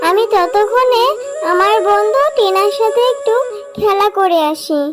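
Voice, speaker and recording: A woman's voice: very high-pitched, unhurried. A woman voicing a sweet, eager young fox girl in a children's cartoon, with a childlike, wheedling manner. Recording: music playing behind the voice. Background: children's music.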